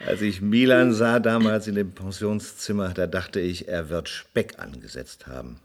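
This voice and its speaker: A man's voice: sits low, moderate pace. An actor playing a remorseful old former policeman, reflective and weighty, in a radio play. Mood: reminiscing. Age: older. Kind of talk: German radio play acting.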